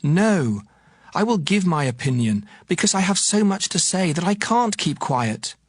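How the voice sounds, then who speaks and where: A man's voice: high-pitched, sing-song, a little slow, slightly rough, no echo, studio quality, exaggerated voice. An actor playing an earnest young man who admonishes his elders in an emphatic, declamatory way, in a dramatized audio Bible. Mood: indignant, bursting. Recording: music behind the voice.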